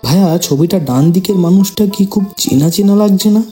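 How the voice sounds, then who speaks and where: A man's voice: high voice, normal speed, warm tone, soft background music, natural voice. A man playing a polite, curious and respectful visiting friend in an audio horror story.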